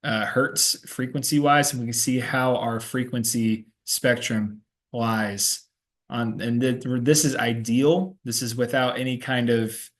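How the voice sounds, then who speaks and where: Young man giving a technical presentation. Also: medium pitch. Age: young adult. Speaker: student presenter.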